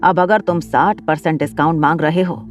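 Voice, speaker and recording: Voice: mid-range voice, fairly quick, soft background music, natural voice. A narrator voicing a desperate middle-aged man who defends his failing family business. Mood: pleading. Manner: pleading then angry.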